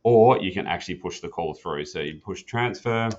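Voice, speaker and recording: Low-voiced man giving a casual tutorial. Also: normal speed, a little mellow. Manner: casual, plain-spoken, practical. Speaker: instructor demonstrating an office phone system. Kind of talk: informal spoken product tutorial. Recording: dull, muffled lo-fi sound.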